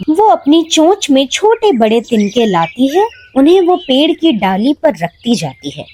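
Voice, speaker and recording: Female storyteller for kids' moral tales; higher register, very lively intonation, expressive delivery. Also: a little hiss or hum. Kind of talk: scripted children's moral story narration.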